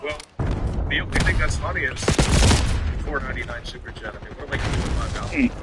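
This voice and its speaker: A man's voice: mid-range voice, lively intonation, fast, heavy background noise. A man livestreaming an emphatic, repetitive, combative rant to his viewers about video game news. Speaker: live stream host. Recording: loud gunfire and clicks behind voice.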